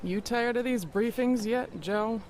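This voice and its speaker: A jaded aide in a video game cutscene. His high voice is dismissive and sardonic. There is faint noise behind him. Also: a little slow. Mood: scornful. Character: jaded, scornful aide at briefings.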